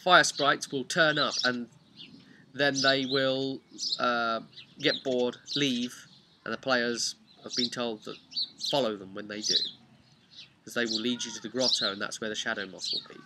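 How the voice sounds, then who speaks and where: Against clearly noisy audio with birds chirping, a man talks in a middling-pitched voice. He is a game master recounting a tabletop role-play session. His storytelling is loose, conversational and wandering. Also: fairly even intonation, measured pace.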